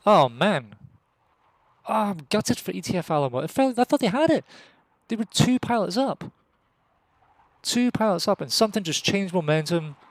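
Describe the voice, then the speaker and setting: A Scottish man commentating a competitive match in a casual, jokey way. His voice sits a little high and leaps up when the action heats up. The sound is dry and close. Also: normal speed, pauses often, no echo. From Scotland. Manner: excitable, rises sharply at kills. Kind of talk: live esports commentary.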